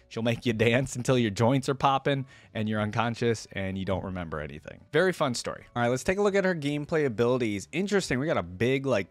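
A young man with a fairly low voice hosts a casual reaction video. He talks informally, explaining as he goes. The sound is dry and close, from a microphone at his desk indoors. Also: dry recording. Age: young adult. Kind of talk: casual reaction commentary with some reading aloud. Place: small room indoors at a desk.

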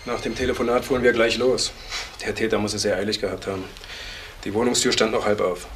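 An actor playing a businesslike police assistant reporting in a German crime radio play. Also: male voice, fairly low pitch, fairly even intonation, fast talker, fairly bright tone, slight background noise, close to an everyday voice. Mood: businesslike, urgent.

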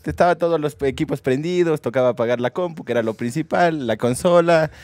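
A man's voice: a little high, fairly quick, a little mellow, no room sound. A young man with an Ecuadorian Spanish accent, telling jokey, colloquial stories as a podcast co-host on a handheld mic. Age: young adult. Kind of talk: casual comedic storytelling. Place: outdoor rooftop terrace. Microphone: handheld microphone held close.

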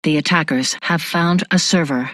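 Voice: medium pitch, normal speed, fairly bright tone, no echo, natural voice. A performer voicing an impersonal AI security announcer in a sci-fi video game, with formal, clipped delivery.

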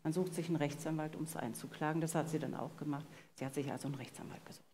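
A middle-aged woman with a slightly low voice narrates true crime on stage, posing dramatic rhetorical questions. There is faint noise behind her. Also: fairly even intonation, rapid-fire, dark tone. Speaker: podcast co-host. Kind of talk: live true-crime storytelling. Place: on stage in a vaulted hall with audience. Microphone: headset. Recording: live stage recording, faint background noise.

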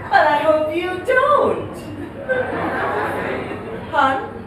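Arch wife, swooping high voice. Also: female voice, very animated, drawn-out words, a loud crowd, heavily acted. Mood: arch, suspicious. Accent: Jamaican. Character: arch, suspicious professional wife. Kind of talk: live stage comedy-drama acting. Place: indoor theatre stage before an audience. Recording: echoey distant theatre, audience, background noise.

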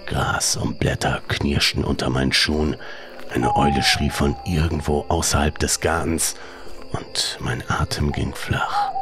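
A man narrating a scripted first-person horror story in a suspenseful, measured way, with some breathy, hushed phrasing. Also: very deep voice, noisy background.

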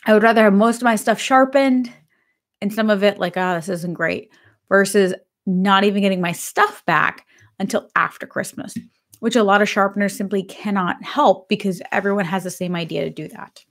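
A woman in her thirties, slightly hoarse, talking to a webcam in her home office. She is chatty and upbeat, as if talking to viewers in a live stream. Her pitch sits in the middle range. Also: normal speed. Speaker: pet grooming business host. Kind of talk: casual live-stream talk. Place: home office with bookshelves, indoors.

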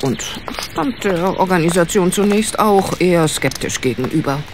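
A woman's voice: on the low side, expressive, normal speed, background noise. An actress playing a patient, teasing older lady in an audio drama, well-spoken and playfully ironic. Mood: earnest. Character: patient, teasing widowed lady, charitable friend of sleuth. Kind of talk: German audio drama acting.

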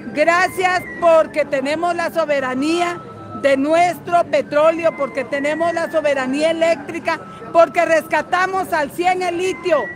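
High-pitched, defiant older woman activist. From Guatemala. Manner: combative, defiant, salty-tongued. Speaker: political activist being interviewed at a rally. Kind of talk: impassioned street political interview. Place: busy city street outdoors. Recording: outdoor street crowd noise, music behind.

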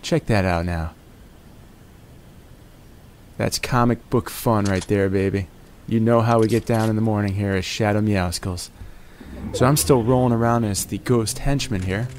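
A man's voice: fairly low pitch, a little slow, a little mellow, slight background noise. A man in his forties giving playful gameplay commentary in a jokey morning-DJ manner, wandering off on tangents. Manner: jokey morning-DJ banter, calls viewers "baby" and "my friends". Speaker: gaming commentator.

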